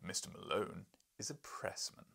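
A man's voice: normal speed, pushed and bright, in a whisper, lightly acted. A man voicing a huge, domineering professor in an audiobook, pompous, mocking and emphatic. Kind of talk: audiobook character voice.

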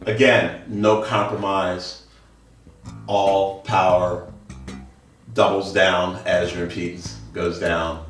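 A man's voice: sits low, little pitch movement, takes it slowly, long pauses, fairly bright tone. A middle-aged man presenting products informally as an audio dealer, emphatic and fond of repeating phrases. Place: indoor wood-floored listening studio. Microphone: handheld camera a few meters away. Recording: echoey room, distant camera mic, music behind.

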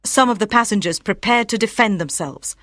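A woman reads scripted story narration in a mid-range voice. Her phrasing is measured and carefully enunciated for learners. The recording is close and dry. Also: mid-range voice, expressive, a bit bright, no echo. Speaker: audiobook narrator.

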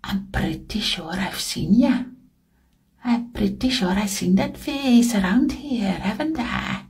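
Woman putting on a slow, sly witch. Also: medium pitch, expressive, darkish tone.

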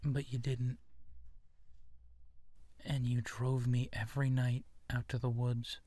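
Male actor as earnest, insecure werewolf for intimate audio roleplay; unhurried, mid-pitched, halting delivery. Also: level tone, no room sound, lightly acted. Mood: troubled, disbelieving. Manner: hesitant, halting, soft-spoken with breathy whispers. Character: earnest, insecure young werewolf man confiding in friend. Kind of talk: intimate ASMR roleplay acting.

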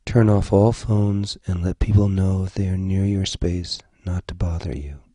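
A man leading a guided meditation, giving gentle, soothing instructions in short phrases. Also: sits low, fairly even intonation, normal speed, breathy edge, dry recording. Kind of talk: slow guided meditation narration.

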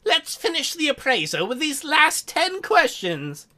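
A woman playing a coy, teasing, bashful young demon girl in a visual novel, with measured delivery. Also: high voice, very animated, pushed and bright, heavily acted. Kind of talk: visual novel character acting.

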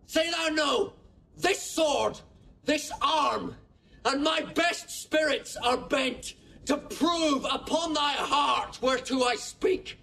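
A young noble knight in a Shakespearean audio drama. His voice is strikingly high and sharp, and he declaims slowly and proudly, throwing out each phrase in defiance. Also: vocal fry, full character voice, poor audio. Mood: righteous fury. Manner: declamatory, slow, ringing delivery.

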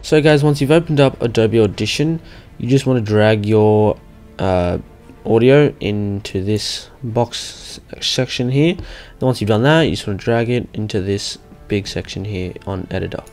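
A man's voice: lowish voice, normal speed, few pauses, a little mellow. Casual young man narrating over music. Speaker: tutorial host. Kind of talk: casual software screen-recording walkthrough.

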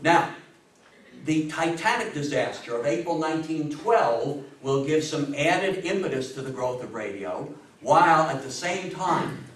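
A man in his sixties lectures to a room in a fairly high voice, stressing his points like a storyteller. He pauses for emphasis and puts questions to his listeners. The sound is very echoey and picked up from a distance, with a faint layer of noise. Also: a bit bright. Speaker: guest lecturer on history. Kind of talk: public talk to an audience. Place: indoor meeting room with seated audience. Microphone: distant camera microphone.

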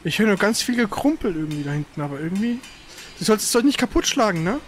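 Livestream gamer, casual chat, grumbling and exasperated, halting delivery, mid-pitched voice, slight background noise. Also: very animated. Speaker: game livestream host. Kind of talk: casual livestream chat during technical trouble.

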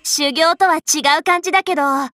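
A woman voicing a cheerful, bossy, scatterbrained young female Buddhist monk in an anime game, boastful and childlike in an expressive, exclamatory way. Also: extremely high pitch, quick pace, soft background music. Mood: pleased, playful. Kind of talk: Japanese anime game character voice lines.

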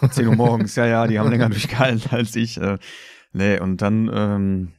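A man's voice: fairly low pitch, little pitch movement, normal speed, a little mellow, no room sound, studio quality. A man in casual podcast chat as co-host, talking in a self-mocking way.

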